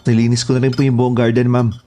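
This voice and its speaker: A man's voice: mid-range voice, completely flat delivery, quick pace, dark tone, natural voice. A man playing a polite, obedient houseboy and gardener who speaks deferentially in an audio horror drama. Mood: respectful, eager. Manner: deferential, eager to report.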